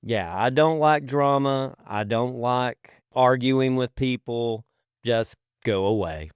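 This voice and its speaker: A man talks casually as the co-host of a podcast. His voice is clean and resonant at an average male pitch, with an earnest, informal manner. The sound is dry, with no room around it. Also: takes it slowly, smooth, dry recording. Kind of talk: casual chat.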